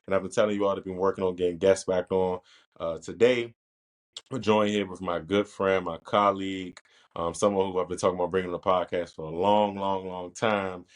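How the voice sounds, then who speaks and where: Deep-voiced laid-back podcast host. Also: male voice, fairly even intonation. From Washington, D.C. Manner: easygoing, laughs often, quick. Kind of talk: casual podcast interview.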